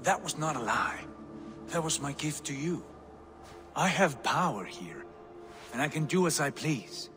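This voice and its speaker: A man's voice: a little high, expressive, measured pace, pauses often, a bit bright, soft background music. An actor playing a brash, swaggering Viking warrior in a video game, boisterous in battle and grave when he speaks of fate. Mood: solemn. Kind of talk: video game cutscene acting.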